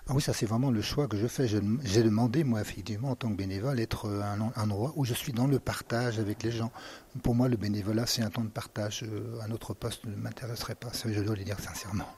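A man's voice: on the low side, fairly even intonation, fast talker, a little mellow, slight background noise. A man from Corrèze, a sanctuary volunteer, answering earnestly and sincerely. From Corrèze, France. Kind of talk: interview answers.

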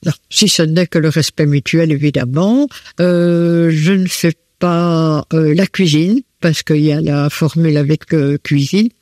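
A woman's voice: sits low, darkish tone, no echo, clean studio sound. A woman answers interview questions at home in her living room, hesitant and full of fillers. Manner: slow and hesitant, many fillers. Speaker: host of students at home.

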